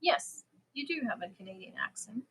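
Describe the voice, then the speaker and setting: A woman's voice: medium pitch, lively intonation, brisk, fairly bright tone, slightly rough, rough recording. A woman from Germany, chatting casually and a little nervously as she hosts a live stream, prone to giggling. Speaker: crochet live stream host.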